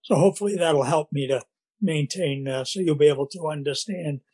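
An older man with a Boston accent and a scratchy voice, telling his recovery story at a meeting in a halting way, with many fillers and restarts. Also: fairly high pitch, dark tone, slightly rough. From Massachusetts, USA.

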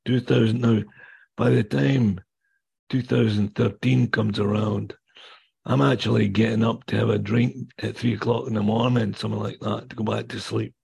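A man in his sixties with a raspy voice from damaged vocal cords, speaking plainly and bluntly as a guest speaker telling his own story. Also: fairly low pitch, little pitch movement, moderate pace, a little mellow. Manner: plainspoken, blunt, mild swearing. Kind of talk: personal testimony talk.

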